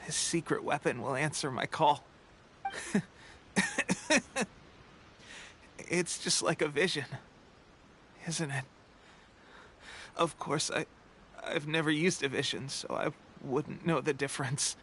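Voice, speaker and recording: An actor playing a weakened, earnest young soldier in a video game, halting and weary, with breaks for breath. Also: male voice, a little high, fairly even intonation, a bit bright, a little hiss or hum. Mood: halting, wistful. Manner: halting, hesitant, trailing pauses. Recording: game music under the voice.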